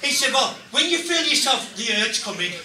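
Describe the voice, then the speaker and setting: Brash high-pitched Birmingham club comic, a man. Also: big pitch swings, a little fast. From Birmingham, England. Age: middle-aged. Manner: brash, punchy joke delivery with swearing. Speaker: stand-up comedian. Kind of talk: bawdy club stand-up comedy. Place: small club stage, indoors. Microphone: handheld stage mic, picked up by far camera. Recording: echoey, very noisy club, distant camera microphone. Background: noisy laughing audience.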